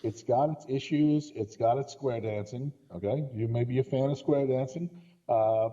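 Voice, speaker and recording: A man's voice: mid-range voice, normal speed, mellow. A man talking casually about films as a podcast guest, conversational and opinionated. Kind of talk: casual film discussion. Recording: dull lo-fi voice recording.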